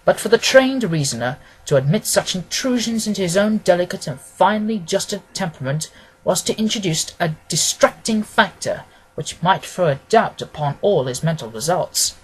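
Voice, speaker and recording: A man narrating a classic detective story as an audiobook, reading in a measured, formal, expressive way. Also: sits high, slightly rough, low-quality recording.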